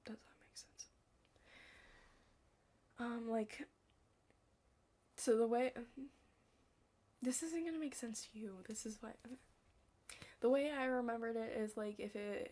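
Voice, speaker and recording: A young woman chatting casually in an ASMR study roleplay, rambling and hesitant, hushed and slipping into whispers. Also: medium pitch, vocal fry. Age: young adult. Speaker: ASMR creator playing a study partner.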